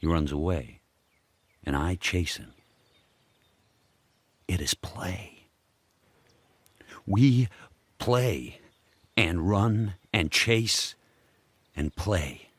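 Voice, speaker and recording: A very low, unhurried voice, close and dry, belongs to a powerful dog going feral. He narrates a radio drama in short, primal, deliberate phrases, solitary and wild. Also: lively intonation, no room sound, exaggerated voice. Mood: watchful, measured. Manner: terse, measured, deliberate. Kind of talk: first-person radio drama narration in short present-tense sentences.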